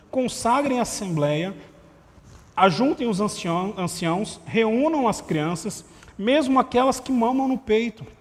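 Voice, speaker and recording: Male pastor, conversational sermon, voice that tires easily, live church stream with long reverb. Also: sits high. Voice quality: vocal cord problem, voice tires easily. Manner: conversational preaching with rhetorical asides. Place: church sanctuary.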